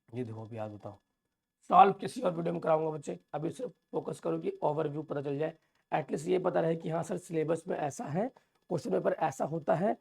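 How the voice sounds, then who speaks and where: A man with a sore, hoarse throat, walking students through an exam paper and calling them children. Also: fairly high pitch, a little mellow. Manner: addresses viewers as children, reassuring. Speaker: teacher. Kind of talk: exam overview lesson.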